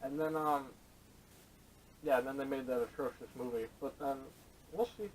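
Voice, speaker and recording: Male podcast panelist, middle pitch, opinionated and blunt, recorded at a distance with faint noise. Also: fairly even intonation, normal speed. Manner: blunt, opinionated, casual swearing. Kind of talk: casual opinionated chat. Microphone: camera microphone at a distance.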